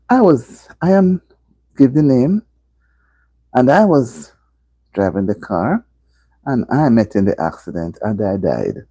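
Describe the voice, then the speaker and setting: Jamaican woman, live-stream host, casual chat, swooping pitch, husky mellow voice. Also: dry recording. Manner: chatty, talks straight to viewers, repeats herself for emphasis. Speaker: livestream host.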